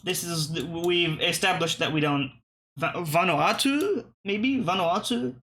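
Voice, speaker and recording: Bright-voiced man thinking aloud. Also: high-pitched, slow pace. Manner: thinking aloud, profane, muttering and restarting. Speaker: streamer playing web games. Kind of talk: casual gameplay commentary.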